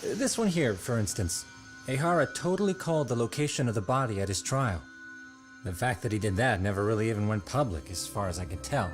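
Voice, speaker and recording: A man's voice: on the low side, animated, fairly quick, faint music behind, lightly acted. An actor playing a casual, analytical male internet researcher in a video game cutscene. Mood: explaining. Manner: explanatory, casual.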